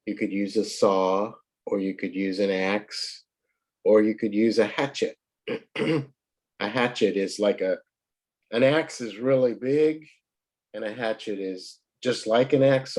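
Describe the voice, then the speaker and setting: A man tutoring a child in English, patient and a little hoarse from a cold, often clearing his throat. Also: fairly low pitch, darkish tone. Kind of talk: online one-to-one lesson.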